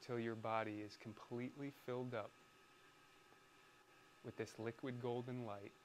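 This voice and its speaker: A man leading a guided meditation in a soothing, deliberate manner, pausing between short phrases. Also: mid-range voice, little pitch movement, slight background noise, low-quality recording.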